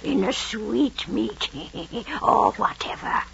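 Very slow, rasping, creaky witch. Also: a little high, fairly bright tone, full character voice. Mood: sinister glee. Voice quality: creaky, raspy. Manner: slow, incantatory, cackling. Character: cackling old witch, seller of poisons, gleefully sinister. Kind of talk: old-time radio drama acting. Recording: dull vintage radio recording.

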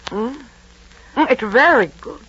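A dull, faintly noisy vintage radio recording of a doting Roman matriarch. She sounds stately and indulgent, with a very mobile, lilting pitch. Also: expressive, a little slow, fairly bright tone. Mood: fond, indulgent. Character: Roman emperor's doting, indulgent mother, middle-aged. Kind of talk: old-time radio drama acting.

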